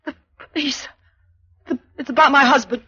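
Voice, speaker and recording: High-pitched anxious housewife. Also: female voice, steady tone, normal speed, bright, forceful tone. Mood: stricken. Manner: pleading, fretful. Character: anxious, devoted middle-aged housewife. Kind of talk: 1940s radio drama acting. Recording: muffled, noisy vintage radio recording.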